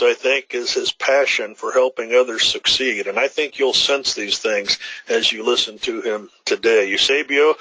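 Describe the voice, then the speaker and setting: Man, webinar host, bright forceful tone, husky, earnest measured introduction. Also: medium pitch, no echo, poor audio. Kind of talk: formal introduction of a guest speaker. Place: webinar call.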